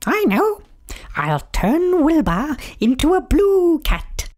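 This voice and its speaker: A man voicing an excitable, fussy female witch in a storybook read-aloud. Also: takes it slowly, a little hiss or hum, heavily acted. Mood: pleased. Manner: high, shrill and fussy.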